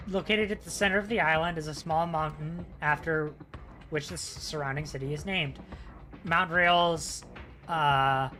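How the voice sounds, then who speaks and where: A man's voice: a little high, steady tone, a little slow, bright, forceful tone, faint music behind. A young man chatting casually and swearing freely, then reading a game sourcebook aloud with small stumbles. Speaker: podcast co-host.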